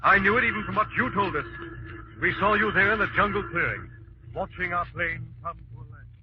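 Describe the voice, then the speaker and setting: A man playing a confident, wealthy young adventurer in a 1940s radio drama, with a brisk, assured delivery. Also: high-pitched, natural voice. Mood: urgent, commanding. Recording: muffled, noisy 1940s radio recording.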